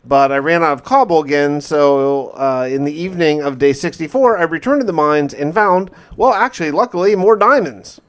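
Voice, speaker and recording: A man with a fairly high-pitched voice narrates a survival game as an in-character diary. He talks conversationally, musing aloud and putting questions to himself as he reasons things through. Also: animated, moderate pace, hardly any pauses. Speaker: gaming video narrator and player.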